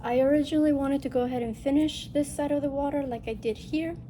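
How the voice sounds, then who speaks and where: Nasal, higher-pitched woman chatting casually. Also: fairly even intonation, fast talker, warm tone. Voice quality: nasal, congested. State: getting over a respiratory illness. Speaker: host of a cross-stitch video channel. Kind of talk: casual chat to viewers, craft show-and-tell. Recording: noticeable background noise.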